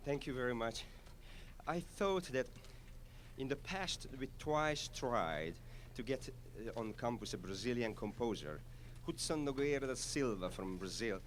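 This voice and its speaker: A man from Hungary with a Hungarian accent, a conductor introducing a piece to a concert audience, halting but enthusiastic with frequent fillers. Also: medium pitch, slight background noise. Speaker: orchestra conductor.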